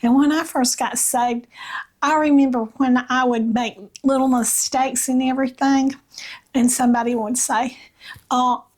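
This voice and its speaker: A woman with a Southern US accent and a slightly raspy voice, chatting casually about faith in a gentle, halting way with pauses. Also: high-pitched. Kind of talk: casual devotional chat with read-aloud passages.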